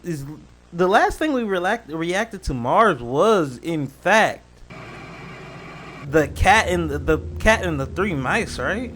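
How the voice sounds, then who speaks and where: A man's voice: sits high, big pitch swings, takes it slowly, a bit bright, slight background noise. A man doing casual reaction commentary as a host, slangy and joking, drifting into rambling asides.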